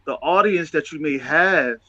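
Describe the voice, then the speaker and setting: A man's voice: a little high, unhurried, pushed and bright, no echo, highs cut off. A man talking casually and motivationally as a show host, emphatic and testifying, repeating phrases for stress.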